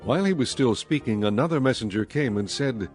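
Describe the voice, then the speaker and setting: A man reading scripted scripture narration as narrator of a dramatized audio Bible, in a measured, solemn manner. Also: medium pitch, expressive, darkish tone, soft background music.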